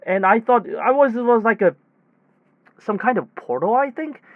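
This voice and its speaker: A man playing a weary, exasperated technician who tells his bizarre tale in a rambling, halting, conversational audio drama monologue. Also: very high voice, no room sound, narrow, tinny audio. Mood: agitated, incredulous. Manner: rambling, halting, animated storytelling with sighs. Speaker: voice actor in a self-written audio drama.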